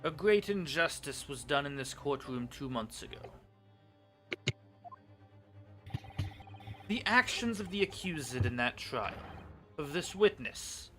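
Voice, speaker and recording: Haughty Victorian prosecutor played by a man, crisp forceful tone, condescending and menacing, light music behind. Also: on the high side, animated, normal speed. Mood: grave, accusing. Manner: condescending, formal. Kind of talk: video game fan dub.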